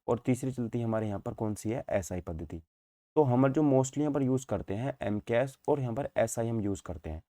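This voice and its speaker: A man's voice: mid-range voice, warm tone, no echo, clean studio sound. A man giving an informal online lecture as a teacher, repeating points and asking questions that he answers himself. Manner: repetitive, asks and answers own questions, frequent check-in tags. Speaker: physics teacher. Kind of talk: informal online exam-prep lecture in Hindi mixed with English terms.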